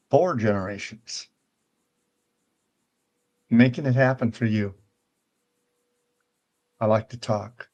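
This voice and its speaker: An older man hosting a casual talk show, walking listeners through things in a folksy, rambling way and often checking in with "right?". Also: medium pitch. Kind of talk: casual chat and virtual world walkthrough.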